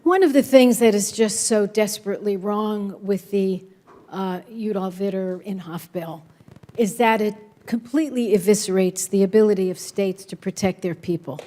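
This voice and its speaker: An older woman hosting a press conference, introducing speakers one at a time in brisk remarks. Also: medium pitch, warm tone.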